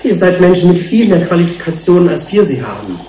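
An actor playing a sensitive, protective researcher in a German radio drama, earnest and emotional. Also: male voice, high voice, big pitch swings, quick pace, mellow, a little hiss or hum, rough recording.

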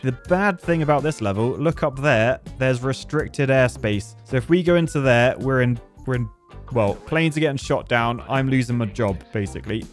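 Man, British accent, middle-pitched voice, casual gameplay commentary, jokey, thinking aloud, music in the background. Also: moderate pace. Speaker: gaming video host.

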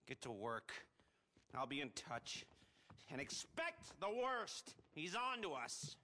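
A man's voice: on the high side, big pitch swings, slow pace, bright, forceful tone, heavily acted. A man acting a neurotic, sarcastic middle-aged hacker who rambles, in a video game. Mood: nervous, grumbling. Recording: clear background noise.

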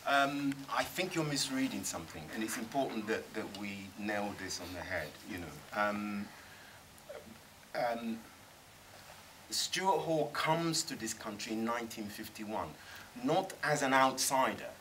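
A man's voice: fairly low pitch, expressive, unhurried, a bit bright, a little hiss or hum, poor audio. A middle-aged man on a panel in a cinema, speaking thoughtfully and emphatically, often restarting his sentences. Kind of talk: panel discussion. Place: cinema screening room with audience.

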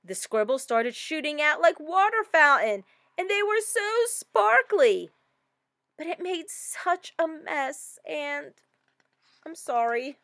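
A woman reading a storybook as an earnest, excitable little girl, with dramatic expression. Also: high-pitched, slow, no room sound, clean studio sound, pitched above the performer's own voice, heavily acted. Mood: flustered apology. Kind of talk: scripted storybook narration.

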